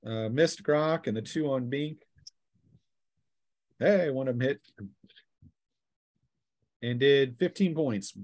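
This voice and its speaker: A man's voice: mid-range voice, slow, long pauses, darkish tone, no room sound. Man running a casual tabletop game. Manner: playful, colloquial. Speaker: game master. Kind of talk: casual tabletop game narration with acted sound effects.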